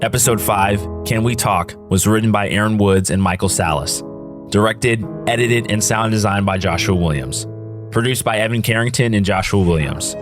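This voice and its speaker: Male announcer: fairly low voice, scripted credit read, clear music bed. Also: normal speed. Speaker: announcer reading end credits.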